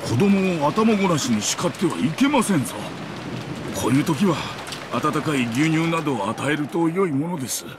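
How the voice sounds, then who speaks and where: Courteous old man, lively intonation, acted. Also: a little high, fast talker, background noise, natural voice. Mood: gentle reproof. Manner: formal, old-fashioned. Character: courteous elderly doctor, gently admonishing. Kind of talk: Japanese visual novel voice acting.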